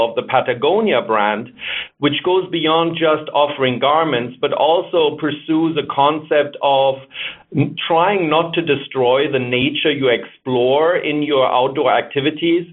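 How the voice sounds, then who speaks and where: A middle-aged man with a German accent, a marketing executive and author, giving measured, explanatory interview answers and thinking aloud as he goes. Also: medium pitch. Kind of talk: interview answers over the phone. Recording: narrow tinny phone line.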